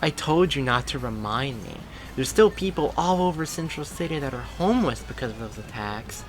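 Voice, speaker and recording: A man voicing a lively, affectionate young woman who works as a reporter, raising his voice higher for her. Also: moderate pace, soft background music, lightly acted. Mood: chatty. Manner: raised, excited pitch.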